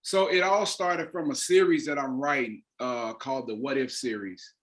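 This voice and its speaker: Male interview guest, mid-pitched, earnest and deliberate, stresses key words. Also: a bit bright. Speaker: interview guest, playwright and actor. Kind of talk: earnest explanatory interview talk.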